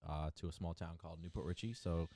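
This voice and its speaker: Podcast host, man in his twenties from Florida, very low voice, dry close sound, easygoing and joking. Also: fairly even intonation, fast talker, dark tone, dry recording. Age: 20s. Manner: loose and joking, leads the round of introductions. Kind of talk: casual chat.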